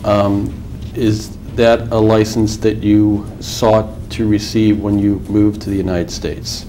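A man questioning a witness as an attorney in a formal deposition, measured with filler pauses. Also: on the low side, level tone, mellow, slight background noise, low-quality recording.

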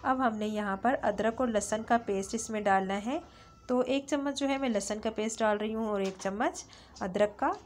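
A woman's voice: a little high, moderate pace, slight background noise. Friendly woman explaining a recipe step by step. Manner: friendly instructive, repeats phrases with fillers. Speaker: cooking channel host. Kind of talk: step-by-step cooking voice-over. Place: home kitchen.

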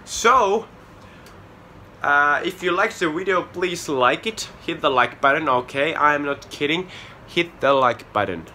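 A man's voice: fairly high pitch, expressive, bright, forceful tone, slight background noise. A young man with a Finnish accent, talking casually to the camera on a small outdoor porch, earnest and a little sheepish. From Finland. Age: young adult. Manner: earnest and a bit sheepish, with filler pauses. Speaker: charity walker. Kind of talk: casual vlog talk to camera. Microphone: camera at close range.

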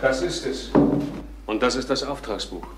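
An actor playing a polite, inquiring police detective in a crime radio play, questioning courteously. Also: male voice, medium pitch, moderate pace, natural voice. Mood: polite, businesslike. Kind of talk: German crime radio drama acting. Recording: noisy old radio drama recording.

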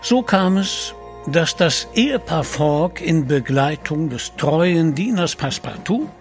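A man narrating a German audio drama in a measured, literary storytelling manner. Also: a little high, lively intonation, faint music behind.

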